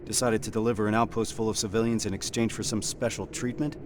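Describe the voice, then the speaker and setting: An actor playing a stern, dutiful resistance squad sergeant with a commanding military manner in a video game. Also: male voice, on the low side, steady tone, fast talker, noisy background, lightly acted. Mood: grave, probing. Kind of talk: dramatic cutscene acting. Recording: game music behind the voice.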